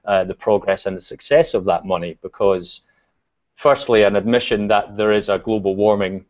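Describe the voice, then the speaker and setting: Scottish man, low voice, dull call audio. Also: moderate pace. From Scotland. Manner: relaxed, many fillers and restarts. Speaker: guest. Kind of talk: casual video chat. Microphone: video call.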